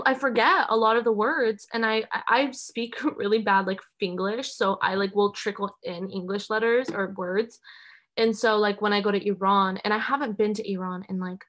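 A woman's voice: medium pitch, a bit bright. Chatty young woman co-hosting a podcast. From United States. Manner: chatty, filler-heavy, giggly. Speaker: podcast co-host. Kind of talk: casual chat.

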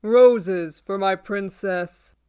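A woman's voice: medium pitch, very slow, a little mellow, clean tone, no room sound, studio quality. A woman voicing a cocky young man who wheedles and pleads with his ex in an audiobook. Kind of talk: audiobook character voice.

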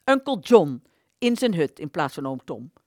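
A woman in a Dutch radio play voicing an aborted idea, a bitter vanished thought, telling its tale dramatically with slow emphases. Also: low-pitched, very animated, warm tone, dry recording, clean studio sound, full character voice. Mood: grand, fervent.

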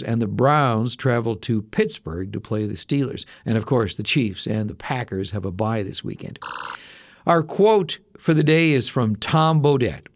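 A man hosting a casual daily news briefing, slightly hoarse and adding personal asides to the headlines. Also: mid-range voice, no room sound, studio quality. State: slightly hoarse, losing his voice. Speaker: radio news briefing host.

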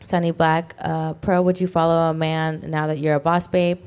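A woman co-hosting an online talk show, reading viewer comments aloud quickly, with frequent fillers. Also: sits low, flat delivery, darkish tone, clear, resonant tone. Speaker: co-host reading super chats. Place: online video podcast studio.

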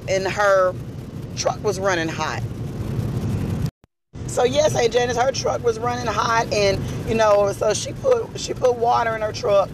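A hoarse, raspy woman giving an earnest personal testimony, telling a story to her aunt in a faith-filled way. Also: fairly high pitch, noisy background. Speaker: podcast speaker.

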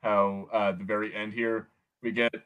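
A man's voice: fairly low pitch, flat delivery, normal speed, a bit bright, smooth, dull audio. A man co-hosting a podcast in casual pop culture banter, with a sweary, sardonic way of talking. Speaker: podcast co-host.

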